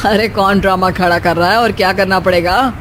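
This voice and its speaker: A woman's voice: mid-range voice, fairly even intonation, fairly quick, noisy background, pitched below the performer's own voice, close to an everyday voice. A woman voicing an easygoing, caring young husband in an acted cartoon story, casual and conversational.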